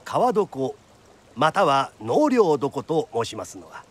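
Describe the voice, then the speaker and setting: High-pitched, animated traditional storyteller. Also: male voice, takes it slowly, exaggerated voice. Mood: dramatic narration. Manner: declamatory, formal, dramatic. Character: lively traditional storyteller narrating a period tale. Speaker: narrator. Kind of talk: theatrical kodan storyteller narration.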